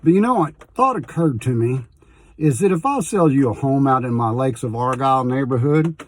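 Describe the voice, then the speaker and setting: A man's voice: mid-range voice, lively intonation, moderate pace. A man telling local stories to viewers in a folksy, direct way as the host. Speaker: host, home builder presenting local stories. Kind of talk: storytelling to camera. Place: outdoors in rain and inside a Jeep.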